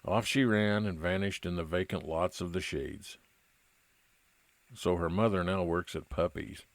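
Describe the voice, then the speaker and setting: Noir radio lead: wry, weary male detective, played by a man, low voice, dry close sound. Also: measured pace, no room sound. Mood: reflective, wry. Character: world-weary, wry male private investigator narrating his case. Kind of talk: old-time radio noir narration and dialogue.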